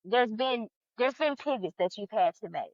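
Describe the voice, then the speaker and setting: A woman's voice: fairly high pitch, expressive, moderate pace, dry recording, lo-fi sound. A woman co-hosting a casual relationship debate, challenging the others with pointed questions. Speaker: podcast co-host.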